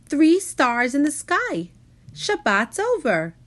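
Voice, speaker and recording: A woman acts out an eager little sister from a picture book. The voice is animated and exclamatory, with pitch that leaps around. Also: slow, full character voice. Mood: excited. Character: eager, observant little girl.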